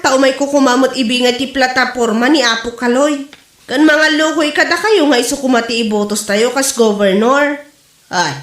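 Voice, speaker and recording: A woman's voice: fairly high pitch, fairly bright tone, roomy sound. A woman playing a brash, chatty village campaigner who exclaims and scolds, in a comedy audio drama. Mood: upbeat campaigning. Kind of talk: comedy radio drama acting.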